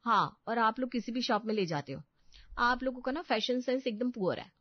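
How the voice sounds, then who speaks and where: A woman's voice: a little high, expressive, fairly quick, dry recording, highs cut off, pitched above the performer's own voice. A woman playing a bossy, haughty housewife in a story, scolding and plain-spoken. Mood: pleading, complaining. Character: bossy middle-class housewife, skeptical employer of a maid.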